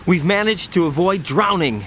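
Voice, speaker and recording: A man's voice: sits high, animated, normal speed, a bit bright. A man voicing an earnest, dutiful young field agent in a video game, plain-spoken and reassuring. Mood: businesslike. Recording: clear background noise.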